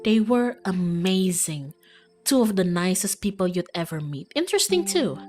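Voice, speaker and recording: A woman's voice: fairly low pitch, big pitch swings, moderate pace. Casting: female reader as friendly small-town outdoorswoman, young, earnest, folksy and eager, visual novel character, music bed. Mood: fond, reminiscing.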